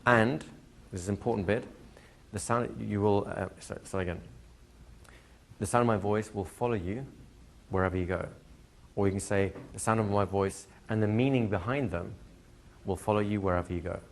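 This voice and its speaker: A man teaching a hypnotherapy class to a live group, explaining plainly and slipping into slow, soothing hypnotic patter for demonstrations. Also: on the low side, gravelly, a little hiss or hum. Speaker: hypnosis trainer. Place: training room with a live audience.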